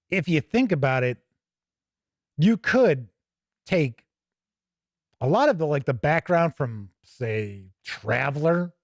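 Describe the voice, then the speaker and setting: A man's voice: a little high, a little mellow, no echo, clean studio sound. A man hosting a tabletop gaming show, giving casual, chatty, rambling commentary off the cuff. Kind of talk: casual unscripted commentary while leafing through a book.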